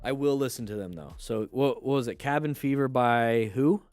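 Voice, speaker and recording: A man's voice: medium pitch, moderate pace, darkish tone, no echo, faint music behind. Congested man chatting on a livestream. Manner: chatty, loose asides, plugs a sale. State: congested, nasal. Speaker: livestream host reviewing motorcycle clips. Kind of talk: casual livestream commentary.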